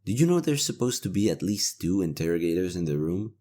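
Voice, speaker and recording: A man playing a cynical, world-weary imperial knight interrogator with a conscience, in a roleplay audio drama, gravelly, sardonic and given to swearing. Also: sits low, sing-song, a little fast, dark tone. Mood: guarded, conflicted.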